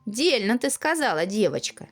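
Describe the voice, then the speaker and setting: A stern but kindly old winter grandfather from a storybook read by a woman, measured and wry. The intonation is lively, with faint music underneath. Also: mid-range voice. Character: calm, stern but kindly old man, frost spirit.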